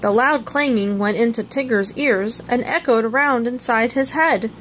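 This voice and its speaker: Woman, high lively voice, expressive children's storybook narration, some background noise. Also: moderate pace. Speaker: storybook reader. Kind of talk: scripted children's story narration, read aloud.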